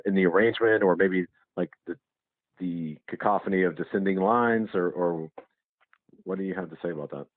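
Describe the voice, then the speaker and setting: A man's voice: low voice, no echo. A man interviewing a musician over a muffled phone line in a casual, hesitant way, rambling through his questions.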